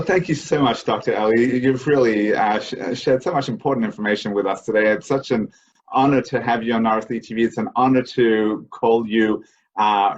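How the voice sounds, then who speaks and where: Guy host saying gushy thanks, stop-start delivery, mid pitch, slightly muffled audio. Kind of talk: thank-you remarks to a guest. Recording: dull lo-fi video call audio.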